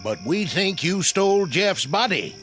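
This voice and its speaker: A nervous committee spokesman from a small town. His voice is high and swings about, with a rural Southern accent and a self-important, halting manner, in a radio play. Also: very animated, fairly bright tone, soft background music, heavily acted. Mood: uneasy, accusing. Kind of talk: radio drama acting.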